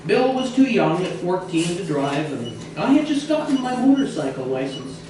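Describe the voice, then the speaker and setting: A man reading a humorous true story aloud as a storyteller, expressive, with some hesitant repeated words. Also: sits high, normal speed. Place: echoey indoor bistro. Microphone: distant microphone in the room. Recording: roomy, echoey, noisy, distant mic.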